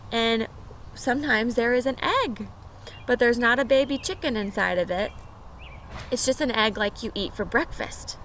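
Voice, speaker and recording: A woman's voice: a little high, fairly bright tone. A woman teacher doing a storybook read-aloud and chatting with small children in simple phrases and questions. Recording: clear background noise.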